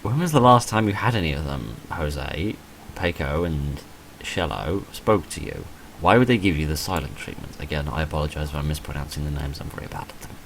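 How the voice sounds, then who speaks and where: A man with a very low voice narrates a visual novel as he plays it. His delivery is animated and moves widely in pitch, broken by dry, self-deprecating asides. There is faint noise behind him. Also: brisk, hardly any pauses, low-quality recording. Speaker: video creator playing and reading a visual novel. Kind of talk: let's play, reading game text aloud with off-script asides.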